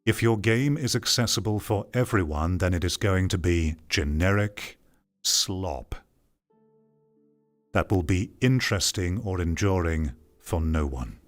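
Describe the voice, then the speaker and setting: A man's voice: deep voice, animated, unhurried, faint music behind. A man giving opinionated commentary on an article, reading parts aloud and reacting in a blunt, dismissive, argumentative way. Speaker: video commentator.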